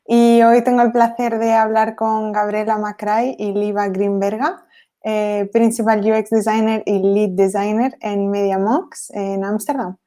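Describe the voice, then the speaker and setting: A woman's voice: mid-range voice, little pitch movement, moderate pace, warm tone. A woman in her twenties with a Spanish accent, a student host introducing guests at a live online event. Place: live video call.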